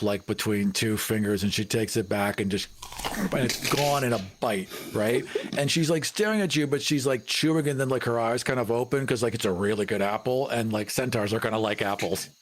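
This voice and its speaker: A man's voice: fairly low pitch, moderate pace, background noise. A man playing a tabletop roleplaying game, casually narrating his character's actions in a descriptive, cinematic way.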